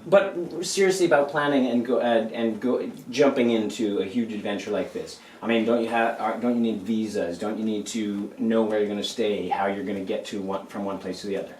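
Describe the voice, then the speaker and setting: A man's voice: fairly low pitch, fairly quick, hardly any pauses, a little mellow, slight background noise. A man interviewing guests in a playful, teasing way. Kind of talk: lively interview. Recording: echoey room from a distant mic.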